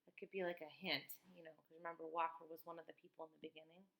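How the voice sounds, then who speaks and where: A woman's voice: deep voice, level tone, fast, vocal fry, a bit bright. A woman reads a children's story aloud. She moves between narration and casual explanatory asides, like a teacher with a class book.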